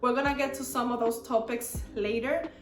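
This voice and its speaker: A woman's voice: fairly high pitch, fairly even intonation, moderate pace, reverberant. A young woman from the Dominican Republic with a Dominican Spanish accent, talking casually to her camera with lots of "like" and "you know" fillers. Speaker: YouTuber.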